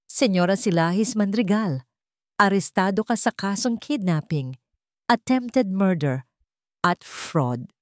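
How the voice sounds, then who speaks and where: A woman's voice: medium pitch, lively intonation, slow, no echo. Female narrator playing brave Filipina detective, firm and authoritative, audio drama story, dry close sound. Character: brave, firm young policewoman detective undercover as maid.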